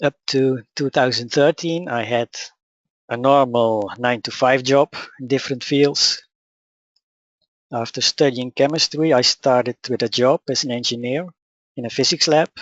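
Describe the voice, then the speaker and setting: Dutch-accented male speaker, mid-pitched, calm and measured; dry, slightly muffled recording. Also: no room sound. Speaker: spiritual teacher. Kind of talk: personal account and teaching talk.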